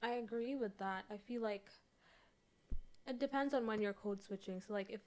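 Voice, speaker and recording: A woman's voice: mid-range voice, moderate pace, a little mellow. A woman with a Kenyan accent, a guest in a casual chat with friends, talking in an easy, chatty way. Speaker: podcast guest.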